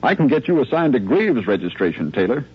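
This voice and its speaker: A male actor playing an earnest army lieutenant who steadies his men in measured, rhetorical phrases, in a 1950s radio drama style. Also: medium pitch, very animated, husky. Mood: firm, challenging. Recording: muffled old 1950s radio recording.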